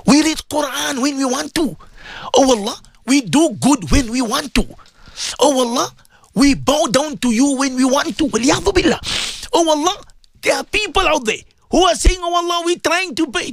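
A woman leading an impassioned, tearful prayer, pleading and swinging between shouted cries and sobbing whispers. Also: sits high, expressive, moderate pace, no echo. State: crying. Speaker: leader of a prayer.

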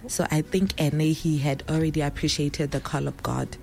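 A woman with a Setswana accent and a naturally loud voice, a pastor's wife telling her story in a casual interview and mixing Setswana words into her English. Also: low voice, fairly even intonation, normal speed, gravelly.

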